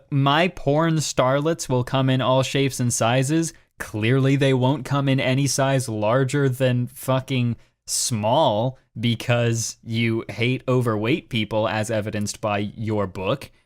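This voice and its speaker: A man's voice: medium pitch, no echo, clean studio sound. A man co-hosting a podcast, doing mocking dramatic readings and casual commentary in a sarcastic, exasperated way. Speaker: podcast co-host.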